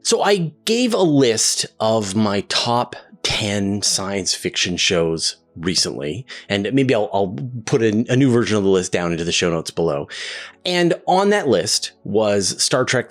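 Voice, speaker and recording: A man in his fifties hosts a relaxed solo question-and-answer show, chatting his way through answers and personal stories. His voice sits on the low side, and his talk drifts along with plenty of "uh" and "you know" fillers. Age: 50s. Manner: reads a long list of names quickly. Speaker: host. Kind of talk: casual solo Q&A monologue.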